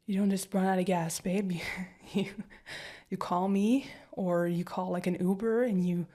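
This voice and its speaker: A young woman playing an anxious, protective girlfriend in a listener-directed audio roleplay, rambling and stammering, often sinking into hushed whispers. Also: mid-range voice, natural voice. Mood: soothing.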